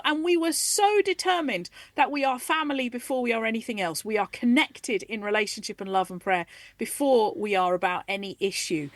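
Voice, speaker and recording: An animated, enthusiastic woman in her forties speaks as an interview guest in a dry, close studio. Her pitch sits mid-range for a woman, and she laughs easily as she talks. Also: no room sound. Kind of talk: radio interview, conversational. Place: radio studio.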